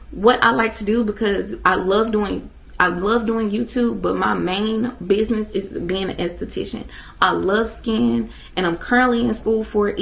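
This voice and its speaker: Animated young woman, gravelly edge. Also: medium pitch. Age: 20s. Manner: emphatic, animated, talks straight to viewers. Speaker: vlogger. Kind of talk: casual motivational vlog.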